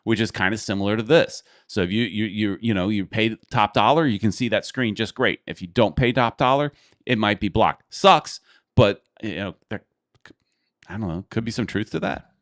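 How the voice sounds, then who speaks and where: A man's voice: fairly low pitch, moderate pace, fairly bright tone, dry recording, studio quality. A man hosting a podcast, chatting casually and rambling as he thinks aloud. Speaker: podcast host. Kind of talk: casual chat.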